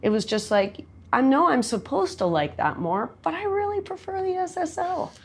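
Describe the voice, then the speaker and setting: A woman with a nasal, loud voice talking candidly and chattily in a casual interview, with quick asides. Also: high-pitched, sing-song. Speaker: songwriter and recording artist guest.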